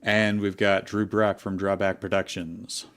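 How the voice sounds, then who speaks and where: Slow, low-pitched man hosting casually. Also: level tone, fairly bright tone, clear, resonant tone. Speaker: host. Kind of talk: casual livestream chat and reading aloud.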